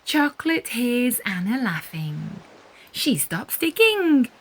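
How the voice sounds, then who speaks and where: A woman reading a children's story aloud expressively, with deliberate emphasis and animated storytelling. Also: on the high side, husky. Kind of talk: expressive read-aloud narration for young children.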